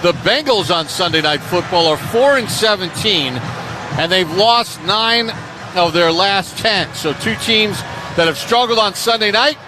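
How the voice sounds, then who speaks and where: A man's voice: high voice, animated, a little slow. A man hosting a sports radio pregame show, giving live play-by-play style commentary. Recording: noisy stadium ambience behind the voice.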